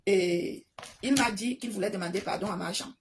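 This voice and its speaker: A woman's voice: mid-range voice, moderate pace, a little hiss or hum. A woman from Cameroon, giving an impassioned spoken monologue full of stammering restarts. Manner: animated, repetitive, stammering restarts. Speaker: online commentator.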